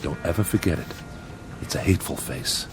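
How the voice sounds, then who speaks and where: Very low-voiced, earnest factory supervisor. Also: male voice, expressive, fairly quick, over music, poor audio. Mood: grim, bitter. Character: weary, bitter factory supervisor, gentle with people. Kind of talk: radio drama acting.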